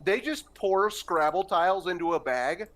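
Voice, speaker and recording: A man's voice: high voice, lively intonation, normal speed, a bit bright. A young man joking wryly with friends while playing a game. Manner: wry, joking. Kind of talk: casual gaming chat.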